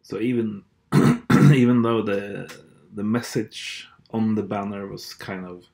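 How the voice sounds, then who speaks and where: A man's voice: fairly low pitch, steady tone, takes it slowly, vocal fry, dark tone. A man whose first language is Norwegian, hosting a podcast in a hesitant, blunt and sardonic monologue full of fillers and restarts. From Norway. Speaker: podcast host. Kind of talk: casual monologue.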